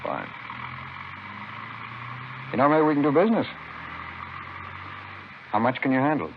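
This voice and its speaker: Wary, polite narcotics dealer: vintage radio villain, noisy and muffled recording. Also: male voice, medium pitch, huge pitch swings, unhurried, creaky, full character voice. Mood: wary, placating. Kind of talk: 1950s radio crime drama acting.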